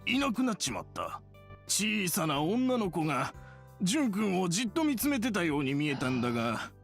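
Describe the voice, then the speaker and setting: A man's voice: high-pitched, wildly swooping pitch, very fast, creaky, faint music behind, heavily acted. An actor playing a friendly older male shopkeeper in a Japanese visual novel. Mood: curious, casual. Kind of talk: Japanese game voice acting.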